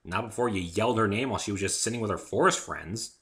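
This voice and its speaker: A man's voice: fairly low pitch, fast, a bit bright. A man playing a wary, exasperated young hero in an amateur story reading, with a dry, questioning manner. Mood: dry reproach.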